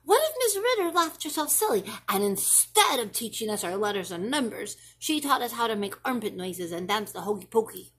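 A woman's voice: on the high side, big pitch swings, brisk, hardly any pauses, husky. A woman voicing an anxious, imaginative little schoolboy who rambles through what-if questions in a children's storybook. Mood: worried, excited. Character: anxious, imaginative little school-age boy pestering his mother. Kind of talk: expressive children's storybook reading.

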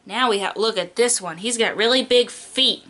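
A woman's voice: a little high, moderate pace, fairly bright tone. A young adult woman, a preschool teacher doing a picture book read-aloud in an exaggerated, child-directed way, stretching key words.

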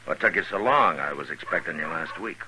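An actor playing a steady, weary Old West lawman in a 1950s radio western, plainspoken and measured. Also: sits low, a little hiss or hum, heavily acted, poor audio. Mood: calm, firm.

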